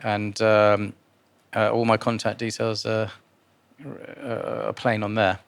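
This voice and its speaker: A man with a fairly low, smooth and resonant voice, speaking as an interview guest. His answers are informal and hesitant, with words repeated as he goes. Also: monotone, slow. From born in Guyana. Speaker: interviewee, philanthropist and investor.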